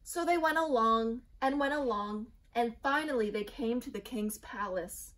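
Young woman reading a storybook, highish voice. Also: a little slow, fairly bright tone, far from the mic. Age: young adult. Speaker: teacher reading aloud. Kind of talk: expressive children's storybook narration. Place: small indoor room, seated before a door. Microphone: camera a few feet away.

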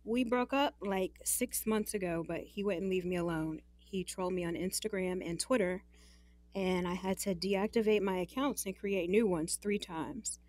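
A woman's voice: medium pitch, normal speed, a little mellow, dry recording, close to an everyday voice. An actress as a young woman in an audio drama, close and dry in sound, with a mid-range voice. She is sardonic and guarded, recounting things in a frank, fed-up way. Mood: exasperated, wry.

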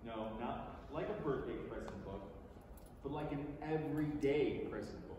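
A middle-aged American man giving a theatrical, conversational staged reading to an audience, deliberate and emphatic, with pauses. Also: mid-range voice, warm tone. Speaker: stage reader performing a book. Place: large theatre stage, indoors. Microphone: far audience camera. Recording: distant, echoey theatre recording with noise.

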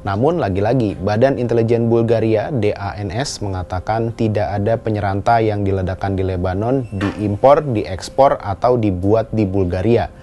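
A man's voice: fairly low pitch, moderate pace, few pauses, dark tone. A man narrating a scripted news explainer as a voice-over. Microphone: voice-over microphone. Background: background music.

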